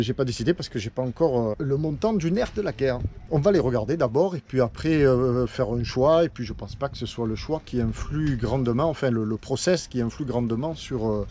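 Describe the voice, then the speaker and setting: Man, relaxed warm timbre, chatty rambling vlogger, faint noise behind. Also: medium pitch. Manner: chatty, rambling, self-correcting. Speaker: vlogger and watercolour painter. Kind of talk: casual vlog talk to camera. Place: on a boat.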